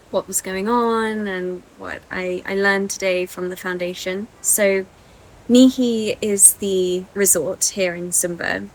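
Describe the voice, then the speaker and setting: A woman with an English accent, narrating a travel vlog in a calm, reflective way, recorded outdoors by the sea. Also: medium pitch, a little hiss or hum, low-quality recording. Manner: soft-spoken, calm and reflective. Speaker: vlogger.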